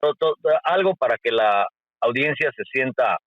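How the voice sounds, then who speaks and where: A man hosting an interview, asking questions in a halting way with many restarts. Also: medium pitch, expressive, dry recording. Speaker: interviewer, host. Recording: dull lo-fi call audio.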